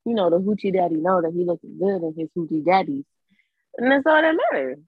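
Husky-voiced woman hyping up banter. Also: fairly low pitch, lively intonation, dry recording, narrow, tinny audio. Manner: loud, playful hype, quick laughs. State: congested. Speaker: podcast co-host. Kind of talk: casual banter.

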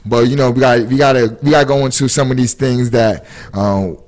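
A man's voice: mid-range voice, fairly even intonation, a little fast, a little mellow, poor audio. A man hosting a podcast, talking in a laid-back, rambling way with lots of fillers and street slang. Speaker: podcast host. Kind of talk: casual podcast chat.

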